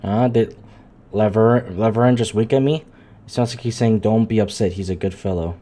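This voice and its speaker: Mellow-voiced male game streamer. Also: lowish voice, fairly even intonation, moderate pace. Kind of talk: live stream reading and casual commentary. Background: faint game music.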